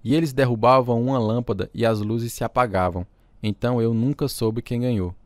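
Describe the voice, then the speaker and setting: A man's voice: medium pitch, fairly even intonation, a little fast, no echo, natural voice. An actor with a Brazilian accent playing an earnest, reflective pastor telling his life story in a radio drama. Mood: earnest, tender. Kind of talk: radio drama narration and dialogue. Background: guitar music.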